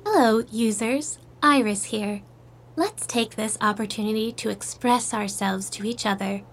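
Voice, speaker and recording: Courteous female app AI for audio drama: raised pitch, measured, scripted delivery. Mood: polite, neutral. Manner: formal, polite, measured phrasing. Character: polite female AI app assistant. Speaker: virtual assistant.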